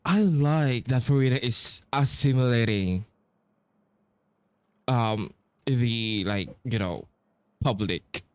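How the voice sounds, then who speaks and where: A man giving casual, rambling playthrough commentary as a game streamer, halting and slangy. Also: medium pitch, smooth, no room sound. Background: video game music.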